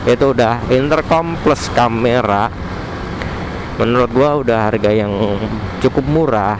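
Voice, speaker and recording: Clear road and vehicle noise surrounds a man talking into a helmet headset mic. His pitch is average for a man, and his Indonesian accent is colloquial Jakarta. He delivers a casual, slangy motovlog review as he rides. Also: unhurried. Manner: informal, slangy. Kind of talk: casual vlog review, talking while riding. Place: outdoors on a motorbike at night. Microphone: modified headset mic inside helmet. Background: traffic and vehicle noise.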